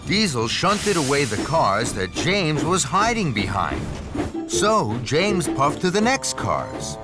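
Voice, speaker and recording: A man narrating a scripted children's story in an expressive storytelling manner. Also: on the high side, takes it slowly. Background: music.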